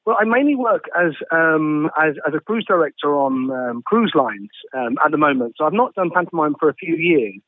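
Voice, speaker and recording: A man's voice: on the high side, sing-song, normal speed, few pauses, no room sound. A polite, well-spoken man from Kent, giving an informal interview as an actor over the telephone. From Isle of Sheppey, Kent, England. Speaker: pantomime actor being interviewed. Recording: dry, band-limited phone line.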